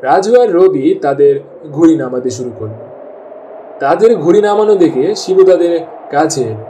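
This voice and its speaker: A man's voice: on the high side, animated, normal speed, dark tone, close to an everyday voice. A man narrating a scripted children's cartoon story. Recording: distant, muffled mic with faint noise.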